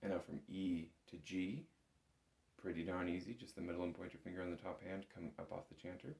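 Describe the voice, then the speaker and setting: Low male voice, gravelly fry, patient informal music instructor, step-by-step delivery, roomy recording. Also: brisk, noisy background. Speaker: bagpipe instructor. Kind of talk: step-by-step spoken music lesson. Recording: roomy, echoing room sound.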